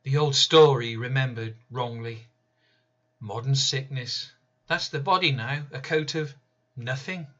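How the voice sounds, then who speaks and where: A man reading his own poem aloud in a slow, deliberate, measured way. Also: medium pitch, fairly bright tone, muffled recording. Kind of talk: spoken-word poetry reading.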